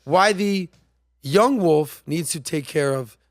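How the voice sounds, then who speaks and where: A man's voice: on the high side, takes it slowly, dry recording, clean studio sound. A man hosting a podcast, talking in a casual, emphatic and slangy way while commenting on played clips. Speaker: podcast host.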